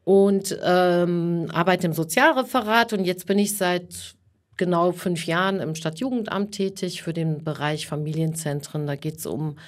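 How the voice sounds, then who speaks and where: A woman in her forties, raised in Germany, talking earnestly as an interview guest, with frequent pauses to think. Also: fairly low pitch. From Iran, raised in Germany. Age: 40s. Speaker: guest, women's network chair.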